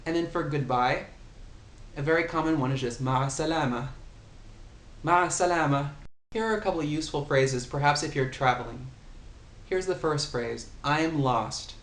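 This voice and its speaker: A man's voice: a little high, fairly bright tone. Man teaching phrases in an echoey room. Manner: slow, patient explaining with repeated example phrases. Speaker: language tutor. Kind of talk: casual spoken language lesson. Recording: echoey room, faint ambient noise.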